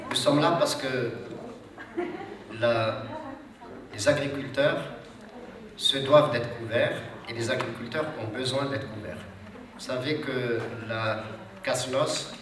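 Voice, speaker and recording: A man with a cold giving a formal, hesitant speech to an audience at a seminar, with many fillers and restarts. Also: medium pitch. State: has a cold. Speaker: social security official speaking at a seminar. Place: large hall with audience. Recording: echoey hall, distant mic, audience noise.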